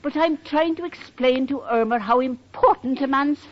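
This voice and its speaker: A woman's voice: sits high, normal speed, natural voice. An actress playing an older Irish landlady with a brogue, chatty and indignant, in 1940s radio sitcom acting. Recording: dull vintage radio with audience.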